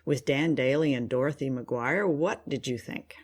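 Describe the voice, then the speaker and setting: A man co-hosting a podcast in a conversational style, with a measured, wry way of talking. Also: mid-range voice, slightly rough. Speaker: podcast co-host. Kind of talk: conversational discussion.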